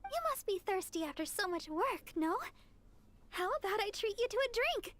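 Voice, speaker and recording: A woman's voice: very high voice, lively intonation, moderate pace. A woman playing a sweet, eager, polite young female church idol in an anime video game, speaking courteously and earnestly. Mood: grateful, cheerful. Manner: courteous, earnest, gently bubbly delivery. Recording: game music behind the voice. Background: game music.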